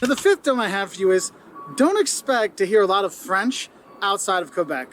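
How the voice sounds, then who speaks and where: A man's voice: sits high, very animated, fairly quick, a bit bright, soft background music. A man from the United States talking to viewers in a vlog, giving upbeat, direct tips. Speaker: video creator giving tips.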